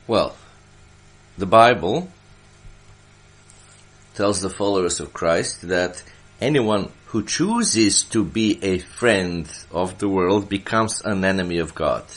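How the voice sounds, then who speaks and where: A man from Serbia, a church elder, delivering Bible teaching from prepared notes in a deliberate way, stressing his phrases. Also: sits low, expressive.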